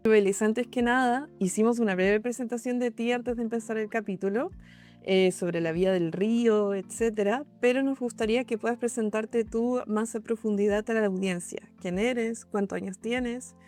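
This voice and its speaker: A young woman hosts a podcast and welcomes listeners and her guest warmly before asking her questions. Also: fairly high pitch, fairly even intonation, normal speed, soft background music. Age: young adult. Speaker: podcast host, interviewer.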